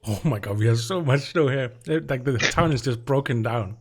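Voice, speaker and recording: A man running a tabletop game, chatting casually with his players, laughing often and pausing with fillers. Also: mid-range voice, breathy edge. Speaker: game master. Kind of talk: casual chat between tabletop game sessions.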